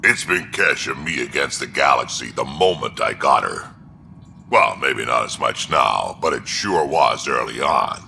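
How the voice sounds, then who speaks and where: An actor playing a gruff, hearty old Krogan alien in a video game, boisterous and blunt, with a deep gravelly voice. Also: male voice, expressive, measured pace, bright, forceful tone, slight background noise, heavily acted. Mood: hearty, fond.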